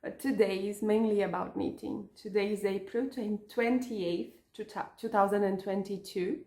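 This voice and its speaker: A woman from Portugal, a native Portuguese speaker with a Portuguese accent, chatting about knitting in a casual craft vlog, halting and restarting words as she searches for English. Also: mid-range voice, fairly even intonation, darkish tone, roomy sound. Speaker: knitting podcaster and yarn shop owner.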